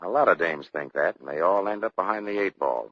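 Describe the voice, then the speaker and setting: An actor playing a tough, proud truck driver, speaking in blunt slang in the manner of 1940s radio drama. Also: male voice, deep voice, animated, a little fast. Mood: curt, firm. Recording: muffled 1940s radio recording.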